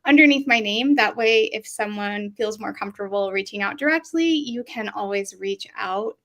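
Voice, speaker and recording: A woman's voice: mid-range voice, normal speed, fairly bright tone. A woman speaking informally as a panelist in an online community discussion.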